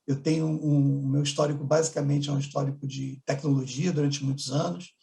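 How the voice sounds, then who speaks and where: A middle-aged man with a Carioca accent, a customer and digital director speaking on an online panel in a relaxed, conversational way. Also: on the high side, fairly quick, gravelly. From Rio de Janeiro, Brazil. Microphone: video call.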